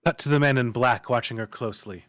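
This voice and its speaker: A man's voice: medium pitch, fast, a bit bright, no echo, clean studio sound. A man giving dramatic scripted narration, reading stage directions like a storyteller.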